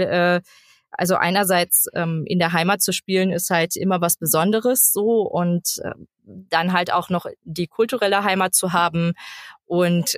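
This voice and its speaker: A woman of about thirty talks casually as an interview guest. Her pitch sits a little low for a woman and the sound is very dry. She gropes for words and is quick to giggle. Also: no room sound. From eastern Germany (Lower Lusatia). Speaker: guest, actress and voice actor.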